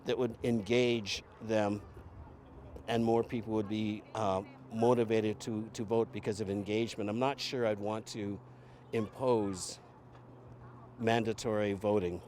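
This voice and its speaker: A man's voice: mid-range voice, darkish tone. A man giving a thoughtful, unscripted opinion in a street interview. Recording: faint outdoor street noise.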